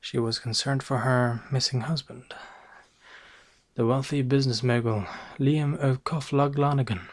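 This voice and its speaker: A man with a Northern English accent playing a boastful, bumbling noir private eye, narrating deadpan with hesitant asides in an ASMR roleplay. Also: mid-range voice, a little slow, close to an everyday voice. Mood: wry, self-satisfied.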